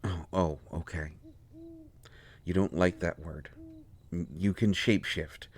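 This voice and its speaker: A man playing an indignant, hurt healer mage in a fantasy roleplay audio, expressive, sarcastic and exasperated. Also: deep voice, takes it slowly, a little hiss or hum. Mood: apologetic, soothing. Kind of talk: dramatic roleplay audio acting.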